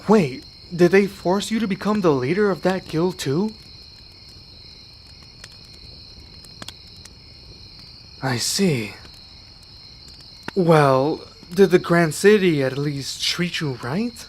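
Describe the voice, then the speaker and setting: An earnest young male werewolf, acted by a man for an ASMR roleplay, with a slightly high voice. He is gentle and protective, soft-spoken and given to pauses. Crickets and insects chirp faintly behind him. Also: expressive, a little hiss or hum. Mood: curious, concerned.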